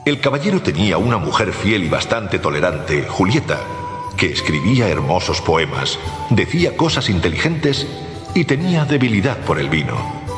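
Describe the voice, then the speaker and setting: Deep-voiced male storyteller in long reverb. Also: normal speed. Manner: expressive, theatrical storytelling. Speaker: narrator. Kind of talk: dramatized storybook narration. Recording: echoey long reverb, music behind.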